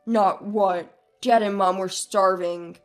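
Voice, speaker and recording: Selfish, condescending husband for a story drama, voiced by a woman, lowered pitch, curt delivery. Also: measured pace, soft background music, close to an everyday voice. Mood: angry, scolding. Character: domineering, dismissive, selfish husband, adult man. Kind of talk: acted dialogue in a narrated family drama story.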